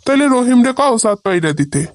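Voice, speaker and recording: A man's voice: extremely high pitch, big pitch swings, fast talker, dry recording, clean studio sound. A man with a Bangladeshi accent playing an exasperated village husband who protests and reasons, in a comic cartoon. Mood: puzzled, reasoning.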